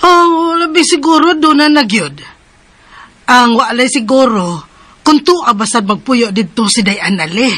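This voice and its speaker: An actress playing a fretful, worried aunt in a radio drama. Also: female voice, on the high side, animated, unhurried, fairly bright tone, no echo. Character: worried middle-aged aunt, fretful and scolding.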